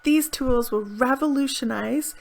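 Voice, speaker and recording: A woman teaching to camera with a bright, upbeat delivery, every word clearly enunciated. Her voice sits a little high and has a gravelly fry at the ends of phrases. She sounds close to the mic in a small indoor room. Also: a little slow. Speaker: English teacher. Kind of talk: scripted video lesson.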